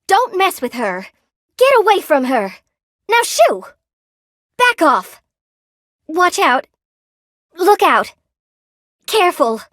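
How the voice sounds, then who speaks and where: A woman's voice: very high voice, big pitch swings, takes it slowly, long pauses, fairly bright tone, no echo, full character voice. Friendly spider lady seamstress for a video game, polite and sweet, takes her time, very dry close sound. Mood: alarmed. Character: friendly, gentle spider-woman seamstress, eager to please, lonely. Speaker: game character, shopkeeper. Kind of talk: video game voice acting, short character barks.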